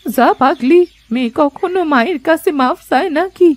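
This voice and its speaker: A woman voicing a gentle, motherly old beggar woman in a moral-story cartoon, speaking in a folksy, coaxing way. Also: high-pitched, lively intonation, normal speed, dry recording. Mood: warm, coaxing. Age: older. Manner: folksy, coaxing, addresses others as her children.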